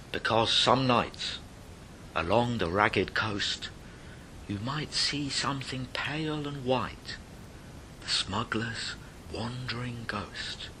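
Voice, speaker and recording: A man telling a ghost story in verse with theatrical delivery, moving between hushed and emphatic phrases with dramatic pauses. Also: mid-range voice, background noise.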